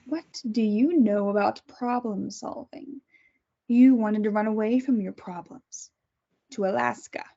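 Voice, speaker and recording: A woman voicing a calm, clinical woman who holds a captive and experiments on her, reading in a table read. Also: medium pitch, unhurried, vocal fry. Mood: cold. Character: coolly menacing woman doctor-kidnapper Beth. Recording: dull lo-fi recording.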